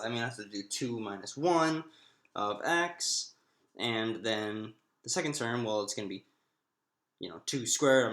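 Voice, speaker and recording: A man's voice: medium pitch, fairly bright tone. Man teaching maths in an echoey room. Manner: thinking aloud, halting, often restating himself. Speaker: maths lecturer. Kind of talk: informal step-by-step maths lesson.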